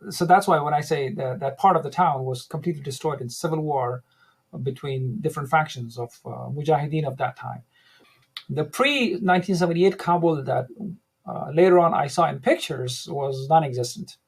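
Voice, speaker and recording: A middle-aged man from Afghanistan, speaking as an interview guest, recalling his past in a measured, reflective way with frequent restarts. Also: fairly high pitch, creaky, husky, rough recording. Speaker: guest, academic center director. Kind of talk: interview, personal recollection.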